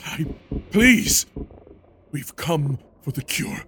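An actor playing a proud, guilt-ridden king who pleads in dread, in a gravelly voice, in a horror-fantasy audio drama. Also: on the high side, animated, extremely slow, fairly bright tone, slight background noise, exaggerated voice. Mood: pleading.

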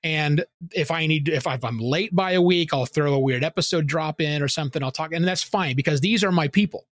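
Guy on a podcast as the guest, kinda high voice, pushy opinions, dead dry mic. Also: fairly quick, dry recording. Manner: opinionated, emphatic, explains with examples. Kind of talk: conversational interview answers.

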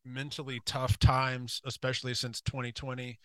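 A man's voice: medium pitch, little pitch movement, normal speed, fairly bright tone, no room sound. A middle-aged man hosting a podcast in casual chat, rambling and candid with frequent restarts. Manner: rambling, restarts often, laughs easily, candid. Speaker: podcast host.

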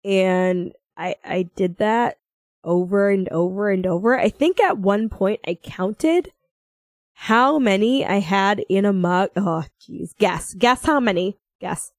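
A woman's voice: medium pitch, slow, no echo. Young American woman, podcast co-host, playful and rambling, giggly, dry close sound. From Minnesota, United States. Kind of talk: casual chat.

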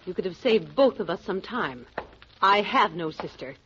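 An actress playing a haughty, indignant bride in an old radio drama, curt and formal in manner. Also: female voice, on the low side, animated, moderate pace, a bit bright. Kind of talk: 1940s radio drama acting. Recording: noisy, muffled old radio recording.

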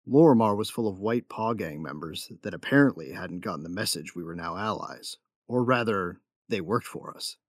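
A man's voice: lowish voice, no room sound, studio quality. A man playing a tough young gunfighter and protective big brother in a sci-fi audio drama, matter-of-fact and wry. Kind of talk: first-person narration and dialogue in sci-fi audio drama.

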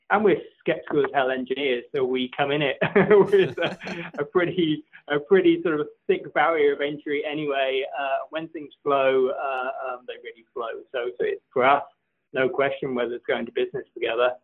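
A man's voice: mid-range voice, steady tone, moderate pace. A middle-aged man, a company co-founder, talking casually in an interview, rambling and repeating words with frequent laughs. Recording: tinny phone-like line.

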